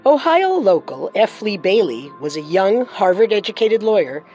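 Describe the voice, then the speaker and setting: A woman's voice: medium pitch, very animated, moderate pace, dark tone, highs cut off, soft background music. A woman co-hosting a podcast, reading scripted true-crime narration with dramatic storytelling and wry touches.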